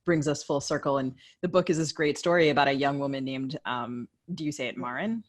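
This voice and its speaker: A woman's voice: rumbling low, brisk. A woman with an American accent co-hosting a podcast, asking questions in a thoughtful, conversational way. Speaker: podcast co-host. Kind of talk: casual interview questions.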